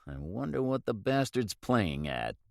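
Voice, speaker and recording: A man voicing a tough boat captain who speaks in a clipped, low-key way. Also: sing-song, measured pace, gravelly, no echo, studio quality, heavily acted. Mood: tense.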